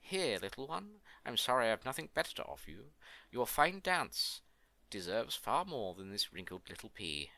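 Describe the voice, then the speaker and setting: A man voicing a gentle, anguished captive queen from a storybook, speaking in a tender, dramatic, pleading way. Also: medium pitch, sing-song, moderate pace, no room sound. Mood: tender, apologetic. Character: gentle, anguished imprisoned queen and devoted young mother. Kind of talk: storybook character voice.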